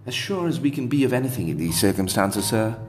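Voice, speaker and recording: A man's voice: mid-range voice, sing-song, fast, darkish tone, pitched above the performer's own voice, lightly acted. A man reading aloud voices an anxious, deferential British equerry who speaks politely and hesitantly, with faint guitar music behind. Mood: resigned. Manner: polite, hesitant, keeps saying sir.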